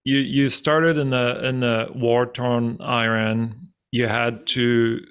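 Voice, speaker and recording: A man's voice: medium pitch, flat delivery, a bit bright, clear, resonant tone. A man hosting a podcast interview over a call, talking casually with lots of fillers and restarts. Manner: halting, many fillers and restarts. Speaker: podcast host.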